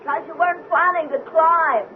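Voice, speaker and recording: Very high-voiced plucky, wry woman. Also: fairly quick. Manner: teasing, quippy. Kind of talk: radio drama acting. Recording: noisy, phone-like old radio recording.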